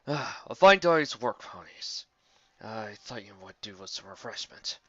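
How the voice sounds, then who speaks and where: A woman voicing a polite, gentlemanly male griffin with an unhurried manner. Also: very deep voice, animated, lo-fi sound, heavily acted. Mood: courteous.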